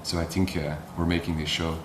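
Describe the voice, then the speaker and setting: A young man from Belgium talks casually about his acrobat work, filling his sentences with "like" and "uh". His voice is very low. The room is echoey, with faint noise behind him. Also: brisk. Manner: relaxed, filler-heavy with frequent "like". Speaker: circus acrobat. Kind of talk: casual interview talk.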